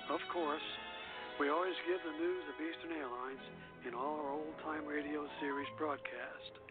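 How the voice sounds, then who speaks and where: A man hosting a radio show, reading scripted narration in a somewhat halting way. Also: a little high, fairly even intonation, over loud music. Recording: dull lo-fi radio recording.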